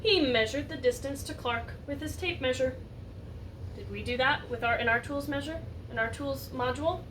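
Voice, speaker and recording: A woman reading a picture story aloud like a teacher, animated and chatting to young listeners with questions. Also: high-pitched, normal speed. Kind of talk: expressive read-aloud story narration with asides to children. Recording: distant mic, roomy background noise.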